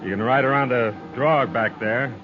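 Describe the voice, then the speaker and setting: An actor playing a weathered, stubborn Texas trail boss in an old radio western, with a drawling frontier way of talking. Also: male voice, medium pitch, moderate pace, over music, lightly acted. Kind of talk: 1950s radio drama acting. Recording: muffled old radio recording.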